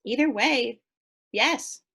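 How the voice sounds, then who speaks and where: A brash, eager woman, played by an actress in a stage play reading. Her voice is high and husky. She talks with pushy, cheeky excitement, like an overgrown kid closing a deal. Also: takes it slowly, exaggerated voice. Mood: pushy, insistent.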